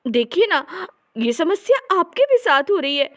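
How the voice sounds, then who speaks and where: A woman's voice: very high-pitched, sing-song, unhurried, pitched above the performer's own voice, full character voice. A woman voicing an embarrassed, meek young daughter-in-law in a family comedy story, with a plaintive, confiding whine. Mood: embarrassed, complaining. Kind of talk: acted dialogue in a Hindi family comedy story.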